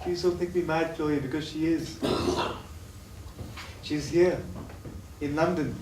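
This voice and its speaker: A man's voice: a little high, slow, darkish tone. A man acting a sick, tormented addict confessing lost love in an impassioned, poetic stage-play style. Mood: fervent longing. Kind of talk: theatrical stage play dialogue. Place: theatre stage, recorded from afar. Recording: distant, echoey, noisy stage recording.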